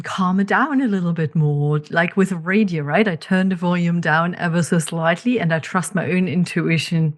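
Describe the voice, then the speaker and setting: A woman with a German accent hosting her own podcast, talking candidly and reflectively, with frequent ums and restarts. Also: lowish voice. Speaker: podcast host. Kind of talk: reflective solo podcast monologue.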